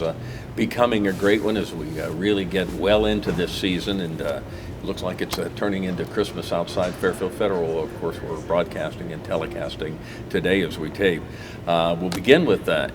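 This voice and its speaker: Middle-aged guy hosting local tv chat, low voice, relaxed, lots of uhs. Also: noisy background. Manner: easygoing, lots of fillers. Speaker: host.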